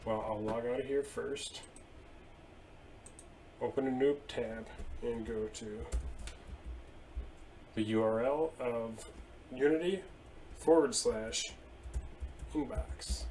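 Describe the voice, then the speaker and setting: A man in his thirties at his desk, casually explaining software settings step by step with a few fillers. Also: medium pitch, takes it slowly, pauses often, a little hiss or hum. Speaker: IT tutorial presenter. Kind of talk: screen-share software walkthrough. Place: indoor room at a desk.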